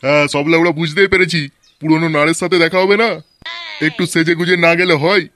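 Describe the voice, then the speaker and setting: A man's voice: fairly high pitch, steady tone, quick pace, sharp tone. A man voicing a brash, stingy, flirtatious middle-aged husband and father in a comedy cartoon, full of crude sarcastic banter. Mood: brisk, teasing. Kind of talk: comic cartoon dialogue.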